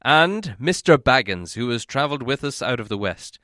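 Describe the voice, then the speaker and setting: Man playing a grand, booming dwarf king. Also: big pitch swings, normal speed, dry recording, clean studio sound. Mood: proud, solemn. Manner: solemn, grandly declaiming, loud. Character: proud, commanding dwarf king in exile. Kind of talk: audiobook character acting.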